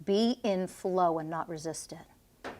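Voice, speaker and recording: A woman's voice: lowish voice, slow pace, warm tone. A woman energy healer guiding a client through a healing session, commanding and intense, with blunt swearing.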